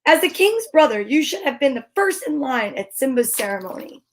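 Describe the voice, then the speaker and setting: A woman's voice: high-pitched, big pitch swings, a little fast, slight background noise. A woman voicing a fussy male hornbill royal advisor in a storybook, with a scolding, squawking manner.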